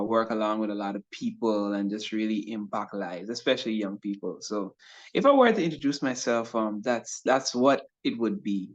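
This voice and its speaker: A young man from Guyana, talking through an interview as a guest in an earnest, thoughtful way with many pauses. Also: on the low side. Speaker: interview guest, youth pastor and rapper. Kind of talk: casual interview chat. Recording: dull lo-fi audio.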